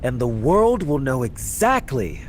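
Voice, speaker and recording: An actor playing a scheming, bitter heist organiser in a game cutscene, speaking in a measured, theatrical, rhetorical way. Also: male voice, mid-range voice, big pitch swings, a little hiss or hum. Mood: intent, persuasive. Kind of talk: dramatic video game cutscene acting. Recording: music behind the voice.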